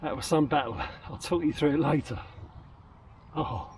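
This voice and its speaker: A man, chatting casually to camera as an angler vlogger, relaxed and rambling. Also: a little high, moderate pace, distant mic, background noise. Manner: relaxed, rambling, talks to the fish. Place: outdoors by a lake. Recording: loud outdoor ambience. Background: loud outdoor ambience and water.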